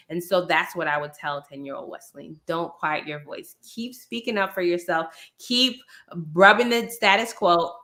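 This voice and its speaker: Woman podcast guest, emphatic and opinionated, bright punchy voice a bit on the low side, chatty answers. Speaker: podcast guest, entrepreneur and sales expert. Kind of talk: conversational interview answers.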